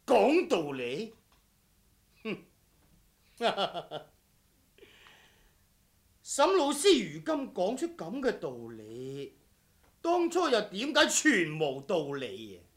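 A man's voice: high-pitched, huge pitch swings, extremely slow, long pauses, heavily acted. A man acting a vengeful, sardonic swordsman in a Cantonese radio drama, with a mock-courteous, taunting, theatrical manner. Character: vengeful, sardonic swordsman come to settle a blood debt.

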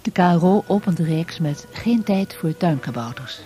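A woman's voice: lowish voice, expressive, moderate pace, warm tone, soft background music. A woman reading scripted promotional narration as a radio announcer.

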